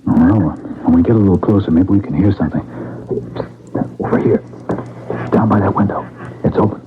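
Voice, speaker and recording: A man's voice: low-pitched, a little fast, lightly acted. An actor playing an earnest, cautious male detective sidekick in an old-time radio drama. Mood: hushed, cautious. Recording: narrow, noisy vintage radio recording.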